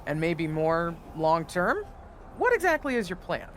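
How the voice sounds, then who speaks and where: Game role: conversational male ex-wrestler turned producer, animated, raised pitch. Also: unhurried, a little hiss or hum, full character voice. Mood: affable. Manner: conversational, politely probing. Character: affable male backstage producer, retired wrestler. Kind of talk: video game cutscene dialogue.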